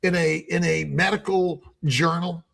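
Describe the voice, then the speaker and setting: A man in his seventies hosting a casual panel chat as a doctor, talking in halting runs with many restarts. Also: a little high, fairly even intonation, vocal fry, a bit bright. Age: 70s. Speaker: host, doctor.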